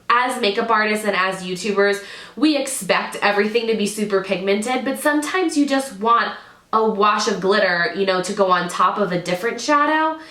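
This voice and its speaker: A woman's voice: medium pitch, bright, forceful tone. A woman in her thirties, slightly nasal as she gets over a cold, chatting enthusiastically to camera as a beauty YouTuber reviewing products at home. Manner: chatty, enthusiastic, stresses words by repeating them. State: recovering from illness. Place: home room with drawer units, indoors. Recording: echoey room.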